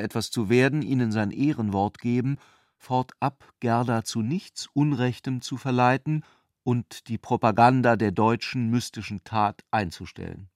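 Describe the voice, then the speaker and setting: Dry, mid-pitched male literary narrator. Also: measured pace, dry recording. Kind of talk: literary radio drama narration.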